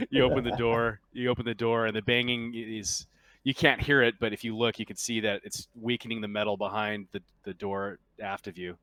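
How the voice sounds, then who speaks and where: A man working as game master, narrating a tabletop game in a halting, conversational, improvised way. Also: fairly low pitch, flat delivery, a bit bright, no room sound.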